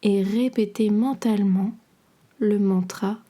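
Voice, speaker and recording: A woman guiding a relaxation meditation in a soothing, measured, instructional way, her soft voice turning breathy at the ends of phrases. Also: medium pitch, steady tone. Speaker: podcast host and yoga nidra guide.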